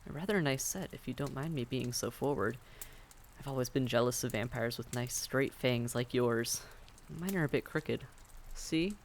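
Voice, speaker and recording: Man playing a creaky-voiced, friendly vampire innkeeper. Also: mid-range voice, moderate pace, a little hiss or hum, lightly acted. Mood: friendly, curious. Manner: courteous, reassuring, conversational. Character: friendly, reassuring male vampire innkeeper, long undead. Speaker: innkeeper character in audio roleplay. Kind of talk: fantasy audio roleplay addressed to the listener.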